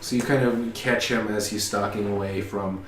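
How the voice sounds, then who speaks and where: Low-voiced guy running a tabletop game, brisk, echoey room, far from mic, faint music. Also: steady tone, heavy background noise. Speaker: game master.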